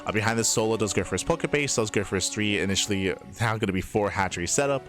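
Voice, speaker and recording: A man from Australia with a mild Australian accent giving fast, chatty live commentary on a strategy game, with lots of fillers. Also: on the low side, fairly bright tone. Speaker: esports caster. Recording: music playing behind.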